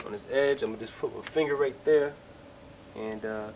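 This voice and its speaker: A man getting over a cold walks viewers through a how-to job beside a car outdoors, sniffling and clearing his throat. He talks in a relaxed, chatty way with lots of fillers, at a middling pitch. His camera mic picks up clear outdoor ambient noise behind him. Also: low-quality recording. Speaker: DIY video maker. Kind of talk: casual how-to tutorial.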